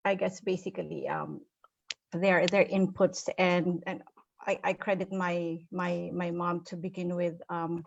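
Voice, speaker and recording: A woman speaking as a panel guest, halting with many repeats and fillers. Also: on the low side, little pitch movement, creaky, highs cut off, slight background noise. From Philippines. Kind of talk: casual panel chat.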